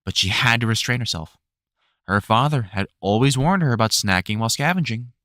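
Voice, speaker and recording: A man reading a whimsical storybook as narrator, in an expressive, measured storytelling manner. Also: medium pitch, no room sound, clean studio sound.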